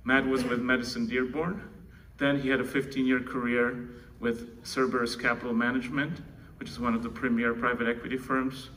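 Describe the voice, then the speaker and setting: Bright-voiced man addressing a conference. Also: medium pitch, little pitch movement, fairly quick, hardly any pauses, distant mic, poor audio. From Czech Republic. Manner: conversational, with restarts and asides to the audience. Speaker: keynote presenter introducing his co-founder. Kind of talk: live conference speech. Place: large conference room. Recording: conference room, speaker away from the mic, faint room noise.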